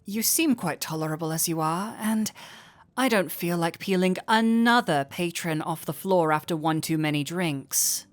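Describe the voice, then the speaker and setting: A woman's voice: lowish voice, moderate pace, natural voice. A woman playing a flirty, teasing tavern innkeeper in an intimate fantasy audio roleplay, with a coy, playful manner.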